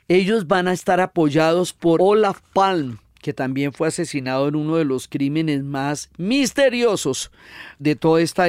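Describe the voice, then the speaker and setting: Man explaining history, clear higher-pitched voice. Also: a little mellow, dry recording, studio quality. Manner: didactic, emphatic, rhetorical questions and repetition. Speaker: history lecturer and narrator. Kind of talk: conversational explanatory history talk.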